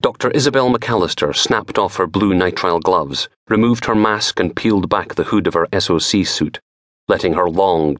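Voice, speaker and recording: A middle-aged man reading a crime novel aloud in a dramatic, measured storytelling manner. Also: deep voice, a bit bright, no echo, studio quality. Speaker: author reading own audiobook. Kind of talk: scripted crime fiction narration.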